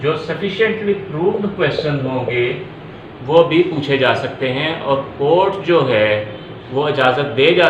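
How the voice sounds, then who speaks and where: A man with a fairly high-set voice delivers an explanatory lecture. He works through each point step by step, often repeating phrases. The recording has strong room echo and a faint layer of noise behind him. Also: fairly bright tone. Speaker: law lecturer. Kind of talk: explanatory lecture mixing Urdu and English.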